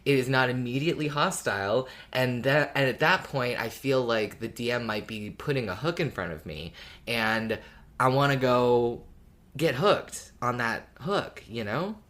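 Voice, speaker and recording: Guy co-hosting a podcast, chatting casually, measured and easygoing, talks things through. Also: medium pitch, a bit bright. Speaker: podcast co-host.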